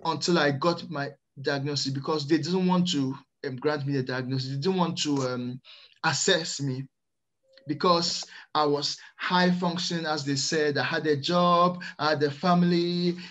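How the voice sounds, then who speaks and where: A middle-aged man from Ghana, the Netherlands and France, telling his own story in a hesitant, measured way on a video call. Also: a little high, steady tone, a bit bright. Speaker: interview guest, early years teacher. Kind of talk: personal storytelling in an interview. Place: small plain room indoors, on a video call. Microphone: computer microphone on a video call.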